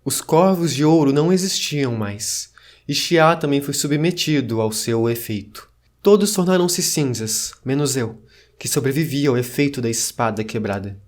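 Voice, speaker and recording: A man's voice: mid-range voice, expressive, moderate pace, natural voice. A man playing an ancient survivor of a fallen dynasty, narrating in a solemn, formal storytelling manner. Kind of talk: scripted dramatic narration.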